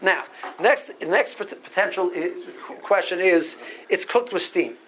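A man's voice: high voice, unhurried, fairly bright tone, slight background noise, low-quality recording. A man from Barbados giving a halachic lecture, often repeating words as he works through sources and reading out Hebrew legal terms. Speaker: rabbi teaching a Talmud class.

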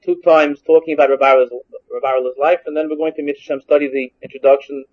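A Hungarian-born man teaches Torah, reading a Hebrew line and then translating it bit by bit. His voice sits high, and he keeps repeating and restarting phrases. The recording is muffled and lo-fi. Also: level tone, fast talker. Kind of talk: text-based Torah lesson. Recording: dull lo-fi recording.

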